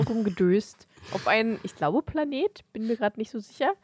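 A woman's voice: on the high side, animated, normal speed, darkish tone, slightly rough, no room sound, studio quality. A woman co-hosting a podcast, chatting casually in an offhand, blunt way. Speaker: podcast co-host.

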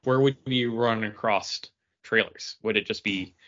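A man's voice: on the low side, a little slow, dull audio, a crowd in the background. A man co-hosting a podcast in casual, conversational chat. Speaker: podcast co-host.

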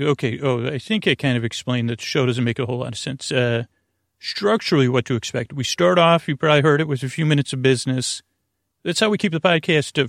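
A man with a gravelly, fry-heavy voice hosts a bedtime podcast. He speaks in a halting, meandering way, drifting from one tangent to the next. The sound is dry, with no room around it. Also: medium pitch, dry recording. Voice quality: creaky, gravelly. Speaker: podcast host. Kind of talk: rambling bedtime sleep-podcast monologue.

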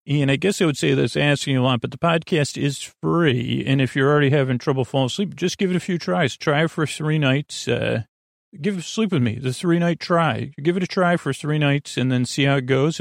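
A man hosting a bedtime podcast in a rambling, halting monologue full of digressions, with a gravelly, creaky voice. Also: medium pitch, no echo, studio quality. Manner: meandering, halting, full of digressions and trailing thoughts. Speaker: podcast host.

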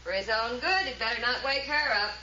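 A woman's voice: on the high side, fairly quick, sharp tone. An actress playing a sassy, wry young woman in a 1940s radio drama. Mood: sardonic, amused. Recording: dull, hissy old radio recording.

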